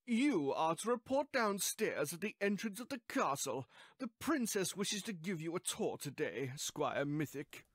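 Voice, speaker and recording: A man voicing a stiff, formal old male unicorn servant making announcements in an animated web series. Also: sits high, a little slow, dry recording, full character voice.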